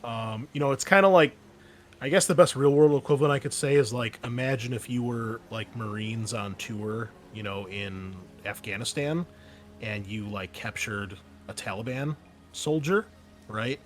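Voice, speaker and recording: A man's voice: mid-range voice, moderate pace. Male game master, casual, slightly noisy recording. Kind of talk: casual tabletop game narration.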